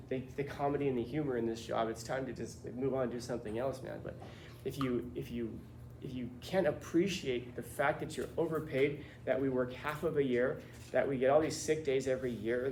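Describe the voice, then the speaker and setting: A man's voice: mid-range voice, darkish tone. A man teaching a class, lecturing students bluntly with lots of rhetorical questions. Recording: echoey classroom with faint room noise.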